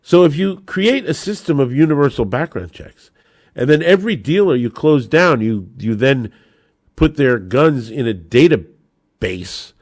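A man's voice: mid-range voice, lively intonation, a little slow, a little mellow, no room sound, studio quality. A man hosting a talk podcast, giving opinionated commentary straight to listeners in an emphatic, indignant way, with rhetorical questions and repeated key phrases. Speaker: host of a gun-rights podcast.

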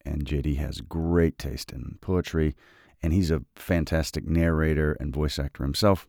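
A man hosting a literature podcast who reads classic poetry slowly and softly in a relaxed, unhurried way. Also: no room sound, studio quality. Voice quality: very deep, gravelly voice. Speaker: podcast host and reader. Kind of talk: slow, measured poetry reading.

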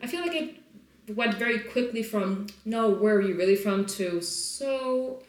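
A woman's voice: fairly high pitch, lively intonation, unhurried. A woman from Iceland who works as a flight attendant, talking casually and reflectively as an interview guest, with many fillers. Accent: non-typical Icelandic English accent. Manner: chatty, filler-heavy, reflective. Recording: echoey room.

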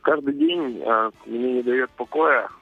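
A man's voice: mid-range voice, huge pitch swings, a little slow, creaky, husky, no room sound, faint music behind. A man calling in to a radio show, speaking formally and earnestly. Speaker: caller. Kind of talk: call-in radio. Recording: dry phone line.

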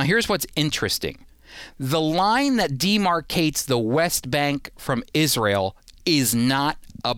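A man's voice: fairly high pitch, a bit bright, clear, resonant tone, no room sound, studio quality. A man hosting a show, giving an explanatory history talk and stressing key points by repeating them. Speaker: show host.

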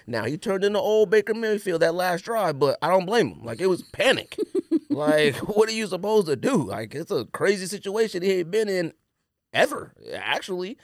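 A man's voice: high-pitched, sing-song, moderate pace, no echo, clean studio sound. An American man hosting a podcast, chatting casually and jokingly in slangy speech about sports and sneakers. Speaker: podcast host.

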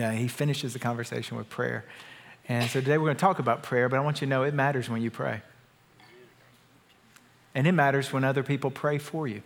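A man pastor opening a sermon, joking lightly and repeating words as he goes. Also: medium pitch. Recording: long reverb of a large hall.

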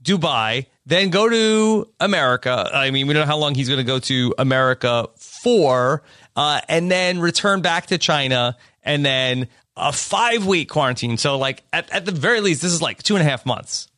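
Bright-voiced man with a hesitant delivery. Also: a little high, moderate pace, no room sound, clean studio sound. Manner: hesitant, full of fillers. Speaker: podcast co-host. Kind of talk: casual reality-show recap chat.

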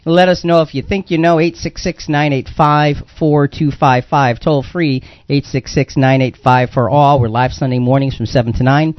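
A man's voice: on the high side, steady tone, normal speed, hardly any pauses, dry recording. A man hosting a religious talk show in a conversational, explanatory way, repeating phrases for emphasis. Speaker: radio show host. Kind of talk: live religious talk radio discussion. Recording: dull lo-fi radio audio.

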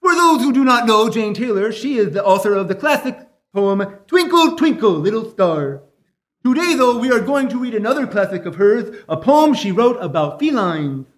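A goofy cartoon moose with a strikingly high voice. He declaims like a self-important poetry lover, grand and theatrical, in a live radio variety sketch. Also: sing-song, moderate pace. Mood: grandly reciting. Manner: pompous, theatrical recitation.

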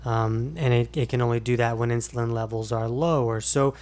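A man's voice: mid-range voice, steady tone, fairly quick, darkish tone. A middle-aged man co-hosting a casual podcast, explaining diet advice in an easygoing way with frequent fillers. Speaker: podcast co-host, fitness coach.

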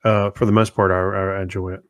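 A man co-hosting a podcast in casual chat, talking in a halting, repetitive, folksy and joking way. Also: low voice, level tone, dry recording. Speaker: podcast co-host.

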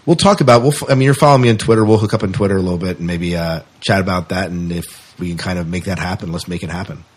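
A man's voice: low-pitched, very fast. A man hosting a podcast in casual interview chat, informal and hesitant, often repeating and rephrasing himself. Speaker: podcast host.

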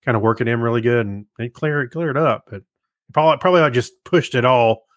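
A man's voice: medium pitch, fast, dry recording, clean studio sound. An American man co-hosting a podcast, chatting casually in an easygoing, rambling way. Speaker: podcast co-host. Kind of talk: casual chat.

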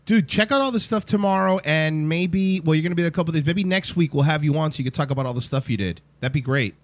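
A man's voice: a little high, fast talker, no room sound. A man hosting a casual live talk show, enthusiastic and slangy in a buddy-like way.

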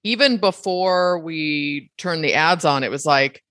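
A middle-aged woman with a notably low voice talks frankly with her business partner, animated and full of restarts. Her voice comes through dry and close to the mic, with no room echo. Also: a little slow. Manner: animated and candid, with many restarts. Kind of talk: casual conversation.

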